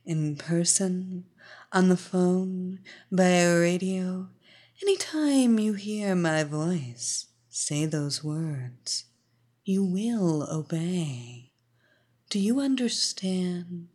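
A woman voicing a cold, domineering female spy who issues slow, deliberate commands. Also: lowish voice, expressive, darkish tone, exaggerated voice. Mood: commanding.

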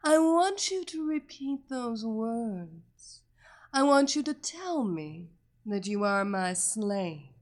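A woman voicing a domineering blonde female hypnotist who gives slow, insistent commands. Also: fairly high pitch, big pitch swings. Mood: commanding.

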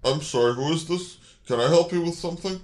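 A man's voice: a little high, fast, darkish tone, close to an everyday voice. Man acting a sly, scheming car mechanic. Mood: flustered.

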